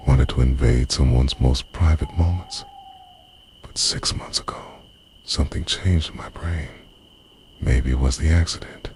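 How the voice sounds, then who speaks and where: A man's voice: rumbling low, a little mellow, a little hiss or hum, full character voice. A man playing a lovestruck, obsessive dream invader in an intimate ASMR monologue, confessional, brooding and half-whispered. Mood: brooding, tormented.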